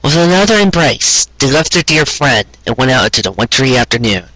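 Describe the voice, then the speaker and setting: A man reading a scripted story aloud in a gentle, measured storybook manner with deliberate pauses. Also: mid-range voice, very animated, no echo, rough recording. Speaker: fan fiction audiobook reader.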